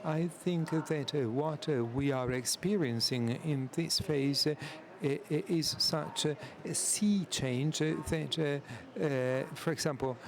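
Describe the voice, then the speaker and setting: A man giving live interpretation at a conference, halting and full of filler sounds. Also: on the high side, a little hiss or hum. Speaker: simultaneous interpreter.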